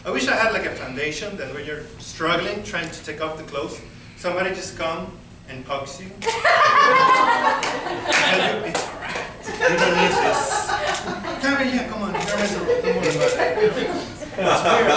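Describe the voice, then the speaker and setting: Venezuelan-accented man doing stand-up. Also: sits high. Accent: Venezuelan Spanish. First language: Spanish. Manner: self-deprecating, laughs at own jokes. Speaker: stand-up comedian. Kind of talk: stand-up comedy set. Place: comedy club stage. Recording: echoey club taped from far off, noisy crowd.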